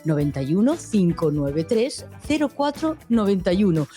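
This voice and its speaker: A woman's voice: medium pitch, very animated, normal speed, mellow, soft background music. A woman reading a scripted, persuasive radio-style advertisement. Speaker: ad announcer. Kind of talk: scripted ad read.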